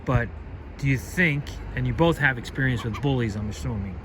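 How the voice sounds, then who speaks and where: A man's voice: medium pitch, brisk. Conversational man interviewing on the street. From United States. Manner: probing, conversational questioning. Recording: street noise.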